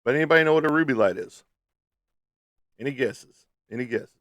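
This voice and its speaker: A man's voice: mid-range voice, a little fast, fairly bright tone, no echo, lo-fi sound. A man with a slightly stuffy nose, chatting casually and playfully to viewers as a livestream host. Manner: playful, teasing, peppered with sung sound effects.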